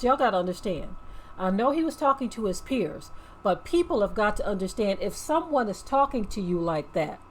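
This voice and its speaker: A woman's voice: medium pitch, slight background noise, low-quality recording. A woman giving earnest, preachy off-the-cuff commentary as host of a religious channel, repeating phrases for emphasis and checking for agreement.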